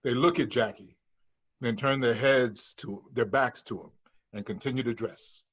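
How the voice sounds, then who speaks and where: A man's voice: mid-range voice, moderate pace, a bit bright, breathy edge, lo-fi sound. A man reading screenplay stage directions as scripted narration at a table read.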